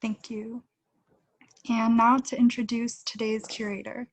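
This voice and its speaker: A young woman hosting an online event, reading a formal introduction in a measured way over a webcam video call from a room at home. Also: a little high, level tone, no room sound, low-quality recording. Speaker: event host. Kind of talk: read introduction.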